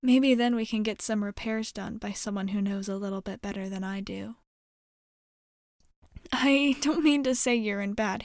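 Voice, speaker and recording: A woman playing a gentle, curious, lonely alien space nomad in an ASMR roleplay, soft-spoken and hesitant, with a reassuring manner. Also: fairly high pitch, vocal fry, no echo, clean studio sound. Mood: gentle, apologetic.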